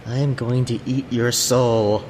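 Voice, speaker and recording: Man doing a slow, eerie soul-eating creature. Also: medium pitch, steady tone, a little mellow, lightly acted. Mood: eerie menace. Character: eerie, menacing soul-eating chameleon shapeshifter. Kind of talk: improvised comedy skit. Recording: noisy, reverberant room recording.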